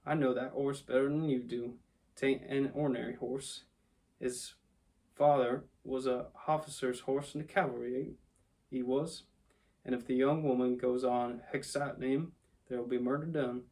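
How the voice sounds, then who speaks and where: A man voicing a rough but kindly London cabby from a storybook, with a Cockney accent and dropped aitches. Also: medium pitch, steady tone, unhurried, a little mellow, lightly acted, poor audio. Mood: indignant. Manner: dropped aitches, colloquial, coaxing. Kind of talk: storybook character voice.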